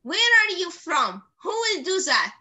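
A woman's voice: extremely high pitch, sing-song, measured pace, crowd noise. A young adult woman teaching an online English class to children over a video call, encouraging and full of praise. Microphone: computer mic on video call.

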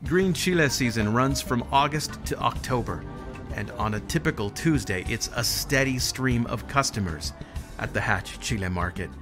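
A man's voice: medium pitch, animated, normal speed, music in the background. A man in his forties with an American accent, delivering scripted narration as the host of a regional TV feature. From southern New Mexico, United States.

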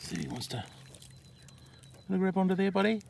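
A man in his forties with an Australian accent, narrating a casual vlog in an informal, halting way, recorded outdoors on a handheld phone. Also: sits high, sing-song, vocal fry, darkish tone. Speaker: farm vlogger. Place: outdoors on a dry rural farm. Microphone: handheld phone at arm's length.

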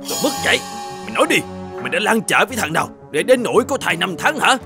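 Angry-tempered stern cartoon dad, high voice swinging all over. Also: male voice, big pitch swings, quick pace, vocal fry, bright, forceful tone, full character voice. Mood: furious. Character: hot-tempered, stern wealthy father. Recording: music bed under the voice.

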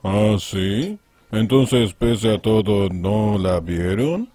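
A man's voice: on the low side, extremely slow, mellow. A man playing a sceptical, matter-of-fact father in an anime fan dub, with a Latin American accent. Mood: curious.

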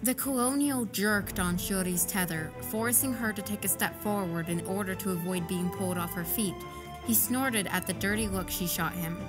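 A woman narrates a scripted adventure story as an audio drama narrator. Her voice sits at a medium pitch, with faint music playing behind it. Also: normal speed.

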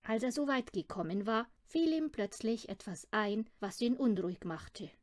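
A woman's voice: fairly high pitch, expressive, moderate pace, dry recording, clean studio sound. A woman narrating a children's audiobook from a script. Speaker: audiobook reader.

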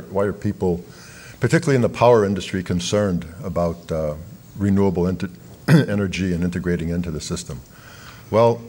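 A middle-aged man giving a keynote talk at a lectern in a large hall, speaking in a measured, explanatory way with fillers and restarts. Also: low voice, darkish tone, a little hiss or hum. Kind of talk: conference keynote lecture.

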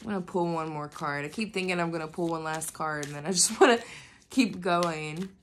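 A woman's voice: fairly low pitch, fairly quick, slight background noise. A woman with a nasal voice from a cold, casually doing a tarot reading and chatting to her viewers. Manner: chatty, swears freely, many fillers. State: coming down with a cold. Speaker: tarot reader. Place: indoors at a floor-level reading table. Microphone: camera pointed at the cards, speaker off-frame.

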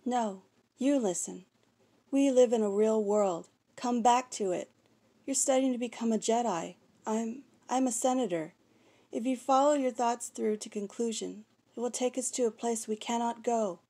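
Young female senator-queen, played by a woman: stern, regal, formal, slightly husky, film drama delivery. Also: fairly high pitch, normal speed, warm tone. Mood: firm, pleading. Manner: firm, formal, resolute delivery. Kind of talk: fan voice acting audition, film dialogue.

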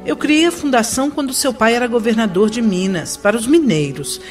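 A woman playing a middle-aged first lady speaking gently to her daughter in a radio drama. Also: a little high, lively intonation, brisk, soft background music, lightly acted. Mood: calm. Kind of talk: amateur radio drama acting.

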